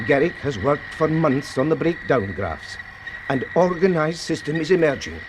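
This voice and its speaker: A man playing a grave, secretive radio astronomer with a Scottish accent, phrasing deliberately in a radio drama. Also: a little high, sing-song, noisy background. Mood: urgent, awed.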